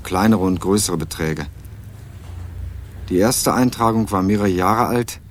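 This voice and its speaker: Ein Schauspieler spielt einen jungen, skeptischen Studenten in einem Krimihörspiel, trocken und literarisch im Ton. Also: male voice, lowish voice, a little hiss or hum, natural voice. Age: young adult. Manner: dry, wry, literary narrating. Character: young male student investigating, wry and sceptical. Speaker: first-person narrator and lead. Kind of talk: radio crime drama, narration and dialogue. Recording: music behind the voice.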